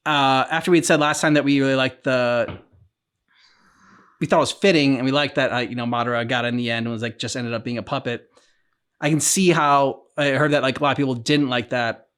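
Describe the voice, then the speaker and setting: A man with an average-pitched voice co-hosts a casual reaction discussion. He speaks tentatively, feeling his way through loose, rambling thoughts. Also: fairly even intonation, a little fast, a bit bright, clean tone. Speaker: reaction channel co-host.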